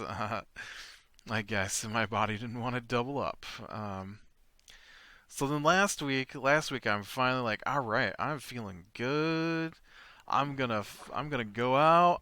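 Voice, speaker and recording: A man's voice: mid-range voice, bright, forceful tone, clear, resonant tone, no echo. A middle-aged man hosting a game playthrough from home, telling a meandering story full of fillers and restarts. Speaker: let's play host. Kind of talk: rambling casual gameplay chat. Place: home room.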